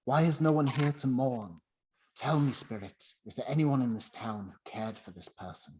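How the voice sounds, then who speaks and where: A man's voice: medium pitch, normal speed, a little mellow, husky, natural voice, low-quality recording. A man acting a fearful old miser who pleads and questions dramatically in a radio play. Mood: anxious pleading.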